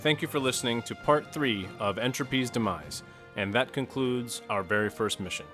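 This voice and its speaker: A man's voice: medium pitch, normal speed, over music. A man reading a scripted podcast outro as one of the show's regulars. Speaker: player and show announcer.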